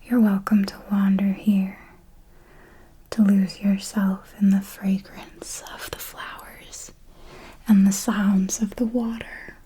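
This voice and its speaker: A woman playing an elegant vampire mentor in a whispered ASMR roleplay, formal and deliberate, possessive, teasingly menacing and protective, with a breathy delivery. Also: medium pitch, little pitch movement, dark tone, low-quality recording. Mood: calm warning. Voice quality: breathy, mostly unvoiced whisper. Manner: slow, deliberate, formal, with measured pauses.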